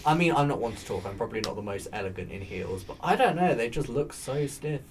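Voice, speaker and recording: A man hosting a relaxed podcast, talking in a soft, soothing voice. He rambles along with plenty of ums and likes, his pitch sitting in an ordinary middle range. A faint hiss of background noise sits under the recording. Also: fast. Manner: rambling, chatty, with many fillers. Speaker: podcast host. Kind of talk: casual chat.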